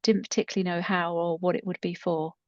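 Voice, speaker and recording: Woman in her 50s, lower-pitched voice, casual rambling storytelling, dull-sounding recording. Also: level tone, quick pace. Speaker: podcast co-host and coach. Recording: muffled, roomy echoing recording.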